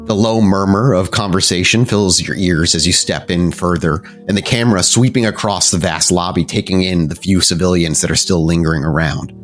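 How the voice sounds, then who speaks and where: A man's voice: sits low, steady tone, fairly quick, few pauses, soft background music. A man working as game master, improvising descriptive, cinematic narration for a tabletop game and feeling out his words aloud.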